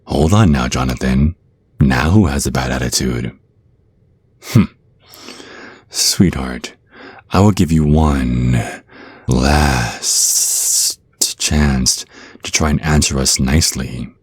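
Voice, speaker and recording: A man playing a menacing, condescending vampire naga in an ASMR roleplay, speaking deliberately with falsely sweet threats. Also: extremely low pitch, slightly rough, no echo, full character voice. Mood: stern warning. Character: menacing male vampire naga hypnotist, coldly condescending brother. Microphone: close microphone, dry.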